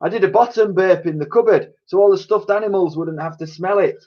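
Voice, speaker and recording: A man's voice: sits high, fairly quick, voice pushed up. A man voicing a dotty, kindly cleaning lady with a chatty, colloquial manner in a children's storybook. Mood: flustered. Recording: dull lo-fi recording.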